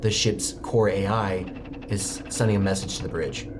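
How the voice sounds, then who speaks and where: A man's voice: deep voice, fairly even intonation, moderate pace, noisy background. A man narrating a tabletop game as game master, describing scenes steadily with the odd filler. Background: music.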